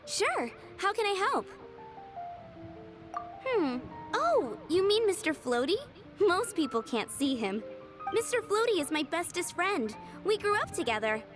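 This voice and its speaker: A woman's voice: extremely high pitch, very animated, unhurried, exaggerated voice. A woman voicing a dreamy, giggly young girl from a flower shop in a video game, with a sweet tone. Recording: game audio with clear music behind.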